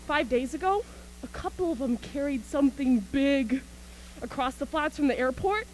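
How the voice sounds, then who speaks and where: A woman's voice: high-pitched, expressive, normal speed, slight background noise, low-quality recording. A woman acting an embittered, manic militia soldier in a stage radio play, ranting in colloquial speech about surviving an invasion. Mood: bitter, wary. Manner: colloquial, ranting, punctuated by scornful laughs. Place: school auxiliary gym.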